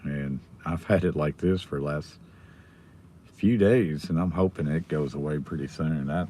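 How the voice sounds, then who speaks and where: Hoarse, raspy deep-voiced man. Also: normal speed, dark tone, slight background noise. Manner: rambling, chatting with viewers by name. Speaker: live stream host. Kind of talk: casual live stream chat.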